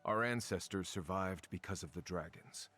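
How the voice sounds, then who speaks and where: A knowledgeable male game character with a low voice. He explains things gravely, in a solemn, measured manner, with soft music in the background. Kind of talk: video game voice acting.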